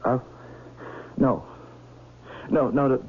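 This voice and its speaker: A man's voice: mid-range voice, drawn-out words, slightly rough. A man playing a nervous, deferential secretary who stammers and grows flustered and defensive in a vintage radio mystery. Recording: tinny, band-limited old radio broadcast.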